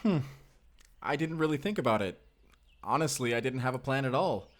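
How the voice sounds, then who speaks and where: An actor playing an earnest, tender Spanish vampire slayer in an audio roleplay, speaking deliberately and intimately to the listener with a Spanish accent. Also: male voice, mid-range voice, expressive, close to an everyday voice. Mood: warm, thoughtful. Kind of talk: cinematic audio roleplay acting.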